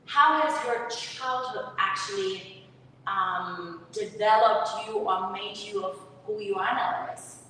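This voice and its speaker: A woman hosting an interview, chatting and telling stories in an animated way. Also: medium pitch, takes it slowly. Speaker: podcast host, interviewer. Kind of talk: casual interview conversation. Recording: roomy hall, distant mic, faint noise.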